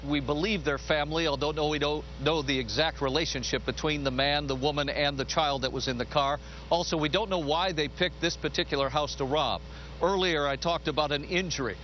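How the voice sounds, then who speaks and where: A man's voice: a little high, dull audio, a little hiss or hum. A man working as a field reporter, delivering a scripted live television news report.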